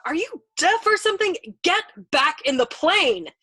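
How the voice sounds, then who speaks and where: A woman voicing a hysterical, terrified man who shouts orders aboard a warplane in an audiobook. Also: very high-pitched, big pitch swings, unhurried, no echo, soft background music, pitched above the performer's own voice, exaggerated voice. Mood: frantic. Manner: shrieking, yelping, pleading.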